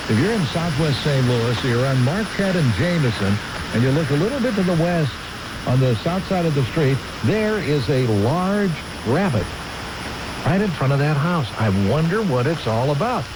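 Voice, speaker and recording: A man's voice: a little high, sing-song, normal speed. Chatty male radio host in heavy hiss. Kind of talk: casual on-air chat. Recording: noisy, hissy radio aircheck.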